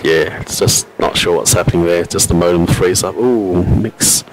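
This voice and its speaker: A man with an Australian accent and a robust, loud voice, giving relaxed, chatty live commentary on a pool match with frequent fillers. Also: sits low, normal speed, background noise. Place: venue at a pool championship.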